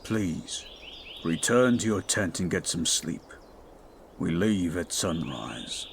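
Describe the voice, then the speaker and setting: A man voicing a towering male goliath bodyguard who is stoic, dutiful and protective, speaking in a formal, measured, commanding way in an audio roleplay. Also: deep voice, big pitch swings, creaky, slight background noise, exaggerated voice. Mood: dry, gentle.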